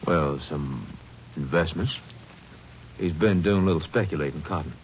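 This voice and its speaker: Deep-voiced, guarded attorney. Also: male voice. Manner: measured, hesitant, formal. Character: guarded, formal male attorney, reluctant to talk. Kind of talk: 1950s radio drama acting. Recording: muffled old radio recording, faint noise.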